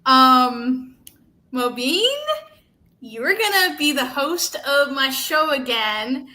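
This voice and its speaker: Female show host, high pitch, bright tone, casual delivery. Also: lively intonation, takes it slowly. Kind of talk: casual hosting.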